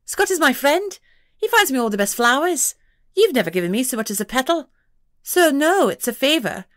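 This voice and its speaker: A tiny female pixie, pitched very high and sounding snappish and petulant. She bargains and threatens like a spoiled little schemer in a fantasy storybook, recorded close and dry. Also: big pitch swings, moderate pace, fairly bright tone, no echo. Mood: wheedling, sly. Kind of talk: audiobook character voice.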